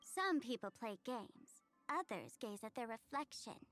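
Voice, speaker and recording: Casting: mischievous young game-character streamer girl, high-pitched, sharp and theatrical, music underneath. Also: sing-song, a little slow. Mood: smug, playful. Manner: teasing, performative, sing-song showmanship. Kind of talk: anime-style video game character voice lines.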